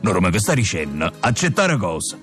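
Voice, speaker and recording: An actor playing a jailed mob boss father with a Neapolitan accent, gruff and weary, in a comic radio drama. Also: deep voice, sing-song, brisk, a bit bright, soft background music. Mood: exasperated.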